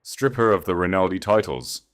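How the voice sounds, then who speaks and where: A man voicing a deep, wrathful Grand Duke. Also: expressive, moderate pace. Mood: stern command. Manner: cold, imperious, menacing. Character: wrathful commanding male sovereign Grand Duke.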